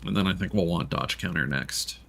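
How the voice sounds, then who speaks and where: A man's voice: low-pitched, fairly quick, gravelly, sharp tone, a little hiss or hum. A man doing casual live gameplay commentary as a streamer, musing and thinking aloud. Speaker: game streamer.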